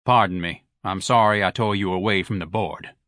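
A man voicing a weary, irritable middle-aged husband who answers in a flat, curt, low voice. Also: normal speed, no echo, studio quality.

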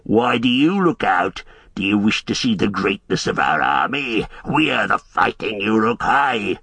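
Man voicing bellowing orc horde, jeering, taunting, gruff and husky, storybook. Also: on the low side, lively intonation, measured pace, sharp tone, no room sound, full character voice. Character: snarling horde of male orc warriors.

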